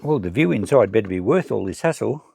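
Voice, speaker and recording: An Australian man giving casual hands-on commentary, thinking aloud and explaining things in an unhurried way as he works. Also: lowish voice, expressive, gravelly, heavy background noise. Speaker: presenter of a vintage electronics salvage channel.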